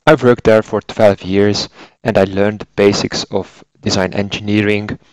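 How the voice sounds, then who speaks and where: A man in his forties with a Hungarian accent delivers scripted instructional narration as a trainer. His voice sits fairly low. He explains things methodically, recorded close and very dry with no room sound. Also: steady tone, measured pace, no room sound, low-quality recording. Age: 40s. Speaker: software trainer and consultant engineer.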